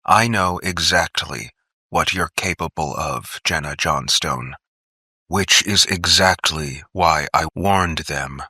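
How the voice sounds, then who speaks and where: Man acting cautious doctor, smooth and low-pitched. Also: no echo, full character voice. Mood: measured. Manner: measured, smooth, gently admonishing. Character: sheepish yet smooth, cautious male doctor.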